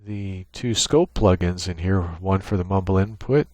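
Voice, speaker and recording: Low-voiced man giving a technical walkthrough. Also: measured pace, a little mellow, slight background noise. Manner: matter-of-fact, technical explaining. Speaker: software tutorial presenter. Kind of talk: unscripted screen-share walkthrough. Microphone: USB microphone with gate and compressor.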